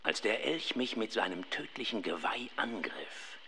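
A man playing a pompous, self-satisfied professor of ghost research in a children's radio play, husky and halting as he savors his own account. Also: on the low side, animated, sharp tone, a little hiss or hum, heavily acted. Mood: proudly reminiscing.

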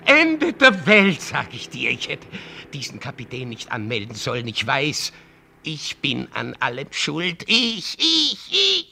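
An actor playing an anxious, guilt-ridden old father in a radio play, halting and repetitive, with outbursts. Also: on the high side, wildly swooping pitch, vocal fry, sharp tone, heavily acted. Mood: despairing, self-blaming.

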